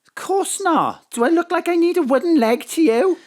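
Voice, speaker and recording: A woman's voice: extremely high pitch, expressive, normal speed, a little mellow. A woman playing a bossy middle-aged mother in a comic audio drama, given to indignant retorts. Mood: brisk, fond. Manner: bossy, indignant rhetorical questions. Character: Astrid's bossy, muddle-worded mother.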